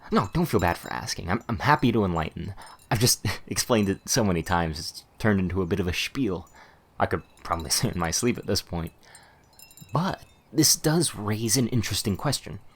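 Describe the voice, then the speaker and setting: A man acts out a humble male witch who keeps a little shop, in an ASMR roleplay. His voice sits on the low side. He is talkative and easily flustered, stumbling over words and rambling eagerly through explanations. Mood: chatty, explaining.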